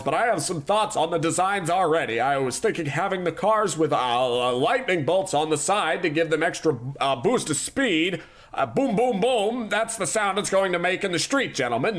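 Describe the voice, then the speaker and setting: A man plays a smug, pompous tycoon in a comedy radio play, in a raised voice with big, lively swings of pitch. He lords it over everyone, flippant and self-satisfied. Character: pompous, careless middle-aged male animation tycoon. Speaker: company boss character.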